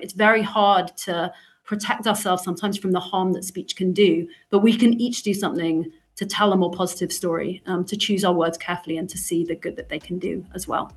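A woman with a British accent speaking as a podcast guest, giving thoughtful, conversational commentary in a reflective way. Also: lowish voice.

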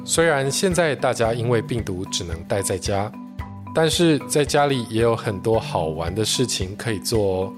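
A woman's voice: extremely low pitch, expressive, moderate pace, over music. A woman with a Taiwanese Mandarin accent hosting a children's podcast, speaking in simple, friendly phrases aimed at young children. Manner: gentle questions in simple, child-directed phrasing. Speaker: children's story podcast host.

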